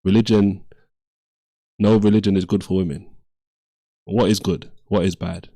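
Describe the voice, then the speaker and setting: Man, deep voice, debate livestream host, blunt, repeats questions. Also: steady tone, normal speed, mellow. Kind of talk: casual call-in debate.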